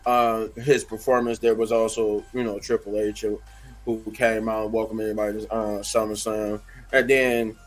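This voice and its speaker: Hoarse, rambling man. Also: medium pitch, poor audio. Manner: rambling, many fillers and restarts. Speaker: wrestling reviewer. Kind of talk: casual unscripted review.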